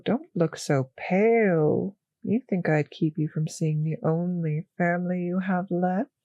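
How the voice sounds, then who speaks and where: Slow, breathy vampire mistress, acted by a woman. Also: lowish voice, mellow, dry recording, highs cut off, heavily acted. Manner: slow, teasing, condescending purr with whispered phrases. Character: cruel, possessive, seductive female vampire mistress toying with servant. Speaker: voice actor in an audio roleplay. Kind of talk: intimate scripted audio roleplay.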